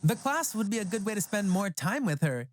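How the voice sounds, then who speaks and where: A narrator reading a scripted first-person story for an animated tale. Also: medium pitch, brisk, no room sound. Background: background music.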